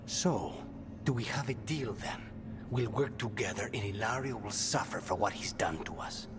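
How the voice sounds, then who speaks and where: A man playing a weary, brooding assassin in a video game, halting and intimate, often nearly whispering. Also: fairly low pitch, music in the background, natural voice. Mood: guarded resolve. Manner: halting, intimate, dropping into a hushed near-whisper. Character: weary, tormented, brooding male assassin trapped in his own mind. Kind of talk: dramatic video game voice acting.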